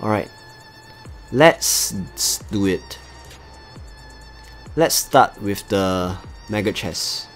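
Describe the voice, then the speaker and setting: A man with a Singaporean accent and a fairly low voice hosts a live gaming stream. He chats casually with his viewers while he plays, with faint music behind him. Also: lively intonation, drawn-out words, pauses often. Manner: chatty, talks to viewers as he plays. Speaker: livestream host.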